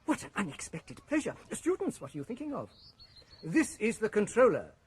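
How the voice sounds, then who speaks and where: A man's voice: high-pitched, huge pitch swings, normal speed, breathy edge, exaggerated voice. An actor playing a pompous male director in a radio drama, lecturing a group of students. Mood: fawning. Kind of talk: BBC radio drama acting.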